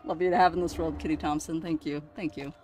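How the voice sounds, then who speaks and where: A woman streamer reacting emotionally on a livestream, choked up, halting and grateful. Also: low-pitched, steady tone, darkish tone, slight background noise. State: choked up, tearful.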